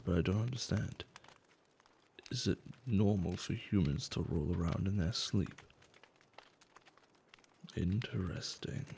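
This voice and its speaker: A man acting a gentle, caring giant in a soft-spoken, soothing and affectionate ASMR roleplay, with slightly formal phrasing. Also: slight background noise, full character voice. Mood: reassuring, curious. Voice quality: low, soft, gravelly. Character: gentle male giant, tender, curious and caring toward humans.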